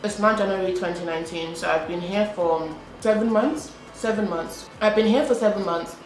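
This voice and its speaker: A woman's voice: mid-range voice, normal speed, faint music behind. A young British woman chatting to camera in a casual vlog while eating, talking in a loose, repetitive way full of "like". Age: young adult. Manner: chatty, repeats herself, frequent "like". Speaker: vlogger. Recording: echoey room with faint background hum.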